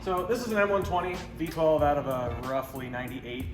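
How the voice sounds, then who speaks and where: A man's voice: fairly high pitch, expressive, fairly quick. A man who builds cars, explaining his work in casual, unscripted shop talk, offhand and unsure of details. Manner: offhand, self-deprecating, hedging on details. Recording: roomy echo with prominent background music. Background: clear background music.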